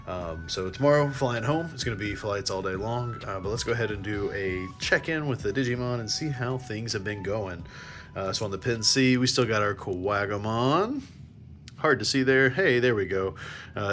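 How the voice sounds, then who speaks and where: A man's voice: on the low side, a bit bright. A man talking casually and enthusiastically to viewers like a geeky fan vlogger, chatting through handheld virtual pet games.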